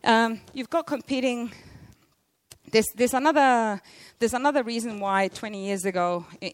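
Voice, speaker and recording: A middle-aged woman with a loud voice giving a conference presentation, hesitant, with many fillers and restarts. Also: mid-range voice, no echo. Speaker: conference speaker, library interior designer.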